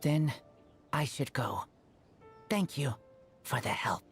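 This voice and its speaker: An actor voicing a reserved young wild boy raised by wolves in a video game, soft and breathy, in terse halting phrases. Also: medium pitch, expressive. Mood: grateful, reserved. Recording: game audio with faint music.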